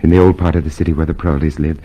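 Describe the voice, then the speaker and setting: A man's voice: extremely low pitch, fairly even intonation, rapid-fire, dark tone. An actor playing a weary, yearning middle-aged man, a secret rebel in love, in mid-century radio drama style. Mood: eager, intimate. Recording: noisy vintage radio recording.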